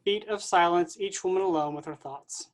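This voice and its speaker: A woman reading stage directions aloud in an even, matter-of-fact way. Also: lowish voice, moderate pace.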